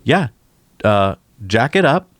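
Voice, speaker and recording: A man's voice: medium pitch, big pitch swings, slow pace, no room sound. A man co-hosting a podcast, explaining technical ideas with enthusiasm in casual chat. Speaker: podcast co-host.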